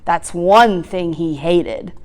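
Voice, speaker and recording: A woman reading a children's picture book aloud expressively, with dramatic, deliberate emphasis. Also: on the low side, a little mellow. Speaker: storytime reader from a community center.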